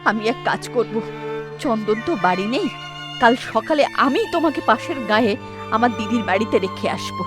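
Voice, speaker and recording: Old village grandma, a woman doing it, sad and fearful, telling a curse story, slightly high voice. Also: animated, fairly quick, over music, natural voice. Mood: grave. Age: older. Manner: storytelling, grieving, warning. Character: older village mother-in-law, fearful and sorrowful grandmother.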